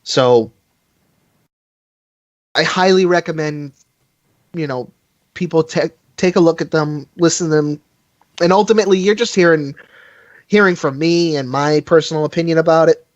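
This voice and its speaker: A man's voice: on the high side, moderate pace, long pauses, no room sound, muffled recording. A man giving a casual podcast review over an internet call, chatty and rambling as he recommends things with enthusiasm. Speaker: podcast panelist reviewing a fan audio drama.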